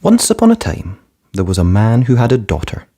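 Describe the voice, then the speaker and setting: A man presenting and narrating a children's fairytale in an expressive read-aloud style. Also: mid-range voice, a little fast, slightly rough. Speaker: storybook narrator and channel presenter.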